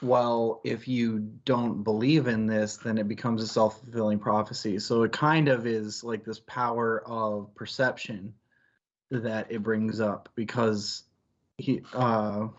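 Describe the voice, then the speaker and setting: A man's voice: fairly low pitch, flat delivery, unhurried, lo-fi sound. A man co-hosting a casual book discussion, talking in a reflective, analytical and wordy way with digressions. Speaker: co-host of a book club stream.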